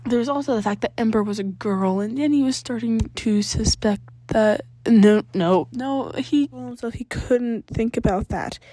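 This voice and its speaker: A woman's voice: a little high, unhurried, hardly any pauses, mellow, no echo. A woman reading a story aloud as a scripted narrator. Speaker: podfic reader.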